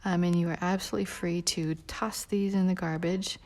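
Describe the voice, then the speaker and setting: A woman leading a live drawing tutorial in a relaxed, rambling way with many fillers, sometimes dropping to a soft near-whisper as she works. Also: lowish voice, a little fast. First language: not Portuguese. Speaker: drawing instructor.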